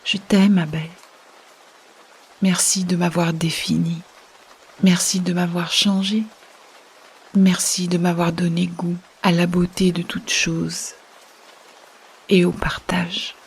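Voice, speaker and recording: An actress playing a tender, resigned dying woman in an audio drama, speaking in a hushed, often whispering way as she drifts away. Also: lowish voice, level tone, breathy edge, heavily acted. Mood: grateful, tender. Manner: slow, hushed, partly whispered. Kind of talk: intimate audio-fiction narration and dialogue.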